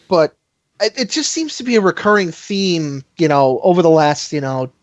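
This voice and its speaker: A podcast co-host in a casual, animated and argumentative fan discussion. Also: mid-range voice, unhurried, dry recording, highs cut off.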